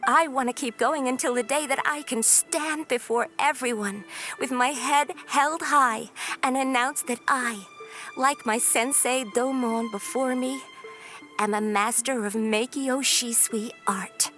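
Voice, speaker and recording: Determined young swordswoman, slightly high voice. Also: measured pace, bright, forceful tone, soft background music. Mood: earnest determination. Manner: earnest, respectful. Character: devoted, determined young female sword disciple. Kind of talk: dramatic video game acting.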